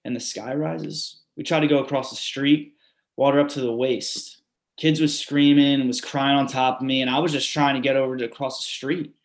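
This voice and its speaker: A man's voice: medium pitch, steady tone, normal speed. A man reading a dramatic monologue, telling in an urgent, repetitive way how floodwater trapped his family. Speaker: reader performing a monologue. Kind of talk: readers theatre monologue.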